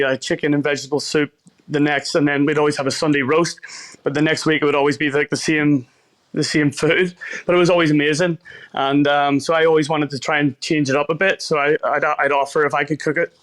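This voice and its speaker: Northern irish guy chatting in an interview, lightish higher voice, pretty flat delivery, rewords stuff as he goes. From Belfast, Northern Ireland. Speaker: chef, podcast guest. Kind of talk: casual interview talk.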